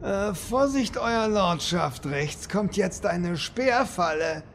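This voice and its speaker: A man's voice: lively intonation, takes it slowly, full character voice. A man playing an obliging, bumbling henchman with a servile, hesitant manner in a German comedy audio drama. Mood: servile, matter-of-fact. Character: obliging, bumbling henchman guiding guests through traps. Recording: clearly noisy with low rumble.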